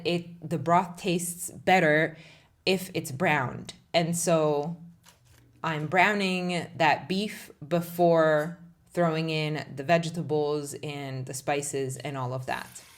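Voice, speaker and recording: A young woman with a Czech accent, giving a relaxed, chatty step-by-step cooking voice-over as a home cook. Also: low voice. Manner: relaxed, chatty explaining with light laughs.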